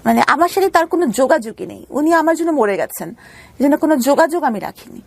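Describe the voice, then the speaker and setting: A Bangladeshi woman telling her personal story as an interview guest, halting and repeating phrases for emphasis. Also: high voice, big pitch swings, creaky.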